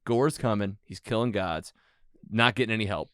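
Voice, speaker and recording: A man's voice: lowish voice, moderate pace, fairly bright tone, dry recording, clean studio sound. A man hosting a casual film recap chat, retelling scenes and acting out bits of dialogue as he goes. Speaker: podcast host leading a movie recap.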